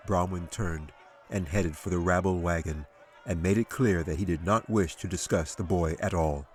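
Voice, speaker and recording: A man narrating a fantasy audiobook as its author, in a measured, dramatic storytelling manner. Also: low-pitched, slight background noise. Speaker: author reading own audiobook.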